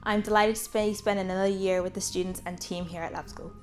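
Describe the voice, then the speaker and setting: A woman primary school teacher giving a cheerful, clear self-introduction. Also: medium pitch, steady tone, fairly quick, a little mellow, faint music behind.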